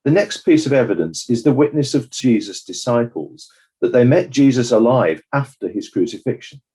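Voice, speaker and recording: A man playing a measured, judicious coroner, delivering a formal, deliberate summing-up in a dramatized courtroom inquiry. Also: mid-range voice, expressive, dark tone, husky. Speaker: presiding judge of inquiry.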